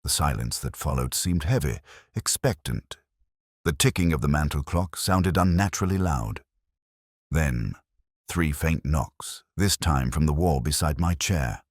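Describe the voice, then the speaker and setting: Very deep, husky male storyteller. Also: expressive, dry recording, clean studio sound. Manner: measured, suspenseful storytelling. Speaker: audiobook narrator. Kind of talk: dramatic scripted narration of a detective story.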